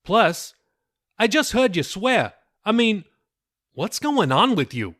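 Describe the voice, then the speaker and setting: A man's voice: high-pitched, sing-song, slow pace, a bit bright, voice pushed up, exaggerated voice. A man playing a stern pastor father. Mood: scolding. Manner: scolding, raised and earnest. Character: middle-aged pastor father, stern then pleading.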